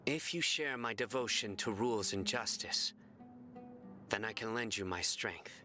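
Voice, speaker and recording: A stern judge of the desert from a video game. The voice sits fairly low with a firm, bright edge. He speaks with deliberate, solemn calm and dry seriousness, over soft music. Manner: measured, serious, deliberate delivery. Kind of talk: scripted video game character lines. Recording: music behind.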